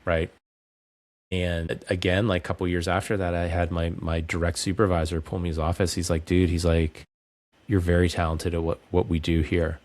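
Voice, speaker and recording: A man in his forties, a coach and speaker, telling his story candidly and in a measured way as a podcast guest. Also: low-pitched, little pitch movement, darkish tone. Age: 40s. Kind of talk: reflective podcast interview.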